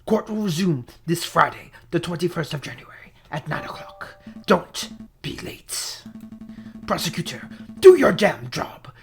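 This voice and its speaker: A woman voicing an irritable, domineering male judge in a courtroom game, with a breathy, harsh voice and a slow, contemptuous delivery. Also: fairly low pitch, animated, soft background music. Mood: irritated.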